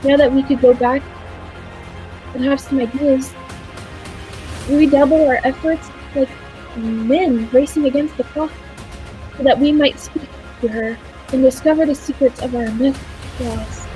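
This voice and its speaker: A woman's voice: high-pitched, steady tone, unhurried, long pauses, warm tone, faint music behind, poor audio. A woman playing an earnest, thoughtful time traveller who narrates a radio play with dramatic storytelling. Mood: reflective, wistful.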